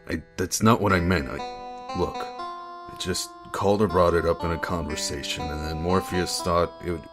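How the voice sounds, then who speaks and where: A man's voice: low-pitched, fairly even intonation, moderate pace, music in the background, close to an everyday voice. A man playing a protective, skeptical and teasingly secretive husband and father in an audio drama. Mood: defensive.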